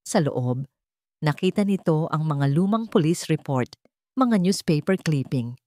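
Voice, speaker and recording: A woman's voice: low-pitched, expressive, unhurried, warm tone, dry recording, studio quality. A woman storyteller reading a scripted romantic drama in a dramatic narrating style.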